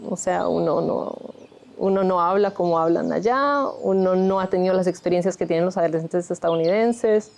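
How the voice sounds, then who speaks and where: A woman in her thirties who grew up in Colombia speaks in a lowish, mellow voice. She tells her own story for a documentary in a candid, reflective way. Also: moderate pace, gravelly. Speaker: interviewee, migrant shelter founder. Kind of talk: documentary interview.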